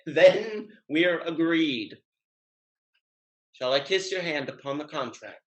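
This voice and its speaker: A man's voice: fairly high pitch, lively intonation, takes it slowly, rough recording. A man playing a witty playboy suitor in a Restoration comedy, speaking in a wry, mock-formal, declamatory way. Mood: pleased. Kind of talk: Restoration comedy stage dialogue.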